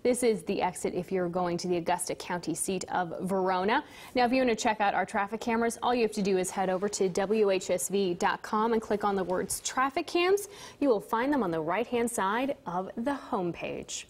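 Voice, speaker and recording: A woman's voice: mid-range voice, fairly quick. An American woman reading scripted local television news as an anchor. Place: TV news studio.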